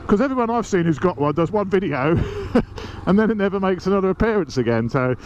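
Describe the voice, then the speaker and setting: A man's voice: sits high, lively intonation, brisk, lo-fi sound. A man talking casually while riding a motorbike, chatty and cheeky with the odd swear word, snuffly from hay fever. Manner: chatty, cheeky and self-mocking, swearing now and then. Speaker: motorcycle vlogger. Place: riding a motorbike outdoors on urban roads. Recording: loud wind and traffic noise.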